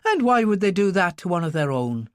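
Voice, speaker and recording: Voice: medium pitch, very animated, fairly quick, clear, resonant tone, no echo, studio quality, pitched above the performer's own voice, natural voice. A narrator voicing a devout, shocked nun in an audiobook thriller. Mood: shocked relief. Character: devout, shocked Irish nun, a grown woman.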